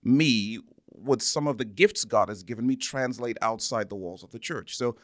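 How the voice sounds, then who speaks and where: A man's voice: mid-range voice, normal speed, no echo, clean studio sound. A man from Zambia telling personal stories as an interview guest, speaking earnestly and repeating words for emphasis. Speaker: interview guest, church minister. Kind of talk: conversational interview, personal storytelling.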